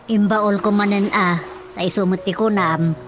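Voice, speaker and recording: A woman's voice: medium pitch, fairly even intonation, unhurried, lightly acted. An actress with an Ilocano accent playing a reluctant, grumbling woman at home in a radio drama comedy. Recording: light music behind the dialogue.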